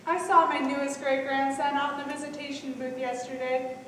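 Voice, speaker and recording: A young-sounding woman acting a high-voiced lonely great-grandmother, echoey hall. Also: flat delivery, brisk, a bit bright. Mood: heartbroken. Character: lonely, heartbroken elderly great-grandmother in a care home. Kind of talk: stage monologue in a one-act play. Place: on stage in a large hall. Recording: echoey hall from far off, faint noise.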